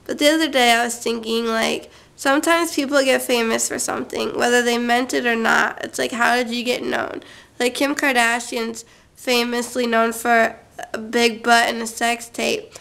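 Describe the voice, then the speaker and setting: Young woman, rambling vlog, flat tone, gravelly edge, camera mic. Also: on the high side, moderate pace. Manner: chatty, goes off on tangents, many fillers. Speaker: vlogger. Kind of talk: rambling casual monologue to camera.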